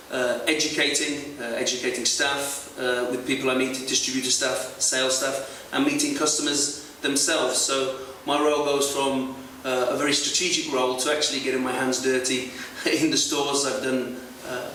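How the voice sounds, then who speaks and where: A man's voice: mid-range voice, sharp tone. A man working as an export sales manager gives an educational talk to retail staff, explaining at length with frequent hesitations. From not French. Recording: very echoey, far from mic, faint hiss.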